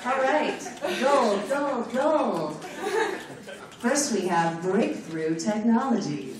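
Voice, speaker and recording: A woman announcing sponsors at a conference, loud and playful, joking between the scripted parts. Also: on the high side, drawn-out words, far from the mic. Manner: loud, animated, joking asides. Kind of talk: playful live announcements to an audience. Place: large conference room with an audience. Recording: echoey hall recorded from afar, crowd noise.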